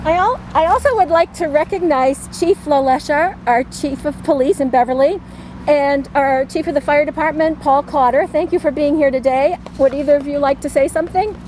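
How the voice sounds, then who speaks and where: Woman, outdoor podium speech, high pitch, mellow tone, faint street noise. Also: normal speed, poor audio. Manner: warm, with frequent "um" fillers. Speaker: senior center organizer hosting a ceremony. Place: outdoors at a podium in front of a brick building. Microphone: podium mic.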